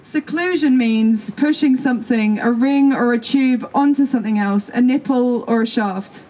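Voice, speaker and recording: A woman's voice: on the high side, moderate pace, dark tone, poor audio. A woman giving a live performance reading of stories and texts in a theatrical storytelling manner. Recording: music behind the voice. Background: music.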